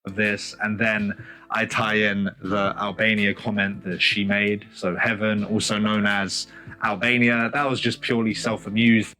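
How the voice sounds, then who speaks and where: A man narrating voice-over advice about his own dating video in a relaxed, advice-giving way. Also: on the low side, a little slow, poor audio.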